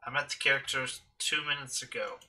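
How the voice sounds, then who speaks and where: A man's voice: medium pitch, steady tone, a little fast, pushed and bright. A man giving casual, irreverent let's play commentary, talking to himself as he plays at a desk microphone. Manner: offhand, irreverent, talks to himself while playing. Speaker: game streamer.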